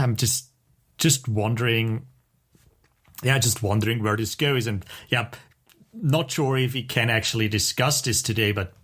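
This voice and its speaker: Low-voiced man chatting on a podcast. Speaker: podcast co-host. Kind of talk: casual podcast chat.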